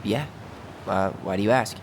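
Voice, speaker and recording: A man's voice: lowish voice, moderate pace, a little hiss or hum, lightly acted. A man playing a guarded, stubborn young brother in understated, casual video game dialogue. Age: young adult. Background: game music.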